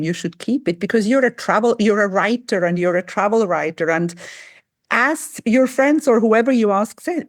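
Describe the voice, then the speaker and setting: Low-voiced woman host, from switzerland, rambly friendly chat, dry close sound. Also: dry recording. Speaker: podcast host. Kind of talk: casual interview.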